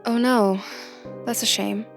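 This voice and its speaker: A woman's voice: fairly high pitch, fairly even intonation, slow pace, vocal fry. An actress playing a curious, polite, somewhat hesitant young woman recruit in an audio drama. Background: music.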